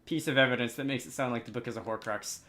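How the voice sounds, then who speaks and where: A man's voice: medium pitch, quick pace, pushed and bright. A man giving casual commentary on a game as a let's player who reads its dialogue aloud.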